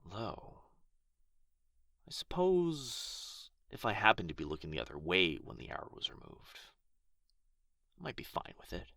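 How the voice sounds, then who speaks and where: A man's voice: low voice, wildly swooping pitch, normal speed, creaky, pauses often, pushed and bright, no echo, studio quality, exaggerated voice. A man playing a proud, pompous male dragon in a fantasy ASMR roleplay, with archly formal, theatrical phrasing. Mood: grudging, threatening. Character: proud, haughty injured male dragon, grudgingly grateful, teasingly possessive.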